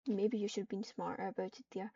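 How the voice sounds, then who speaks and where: A woman voicing a scheming, scornful evil cat in an online game roleplay, with measured, theatrical, formal delivery. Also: mid-range voice, steady tone, vocal fry, warm tone, a little hiss or hum, lightly acted. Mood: smug menace. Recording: dull, distant home microphone.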